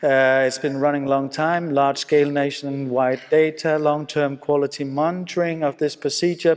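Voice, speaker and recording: A man from Denmark with a Danish accent gives an informal conference lecture as a surgeon, speaking English as a second language with halting phrasing. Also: fairly high pitch. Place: conference hall, live talk. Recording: reverberant hall sound.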